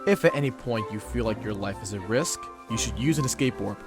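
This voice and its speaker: A man's voice: on the low side, lively intonation, fairly quick, music in the background. A man playing a stern, formal bird assistant. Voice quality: formal, clipped and lecturing. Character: strict formal male bird guild assistant, proud and stern. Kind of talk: fan comic dub acting.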